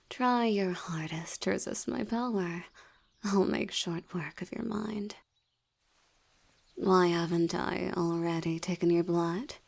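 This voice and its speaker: A woman's voice: lowish voice, gravelly, a little mellow, heavily acted. A woman voicing a seductive, teasing female vampire in an ASMR audio roleplay, often dropping into a whisper.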